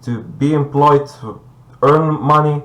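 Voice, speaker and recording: Halting man in an echoey room. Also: on the high side, a bit bright. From Bulgaria. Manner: halting, searching for words. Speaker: debate guest. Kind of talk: conversational debate.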